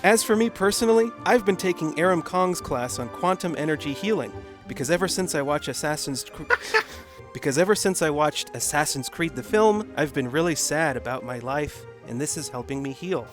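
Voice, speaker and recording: A man's voice: a little high, expressive, moderate pace, over music. A man delivering scripted video-essay narration as the host of a film review.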